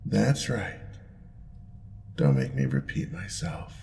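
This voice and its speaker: A man playing a smug, indulgent demon lord in an audio roleplay, with a deep gravelly voice and a playful, purring, drawn-out teasing manner. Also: big pitch swings, dark tone, full character voice. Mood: amused, coaxing. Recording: long reverb, clear background noise.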